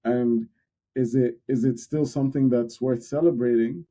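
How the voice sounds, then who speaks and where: A young man co-hosting a podcast, talking in a relaxed, candid way and repeating words while he thinks. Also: mid-range voice, moderate pace. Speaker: podcast co-host. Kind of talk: casual podcast discussion.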